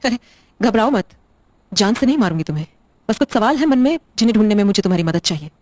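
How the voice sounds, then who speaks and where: A woman's voice: medium pitch, lively intonation, quick pace, a little mellow, no echo, close to an everyday voice. A woman playing a blunt, controlling widowed wife in an audio drama, speaking in a curt, sardonic, interrogating way. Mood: commanding, cold. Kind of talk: Hindi audio drama acting.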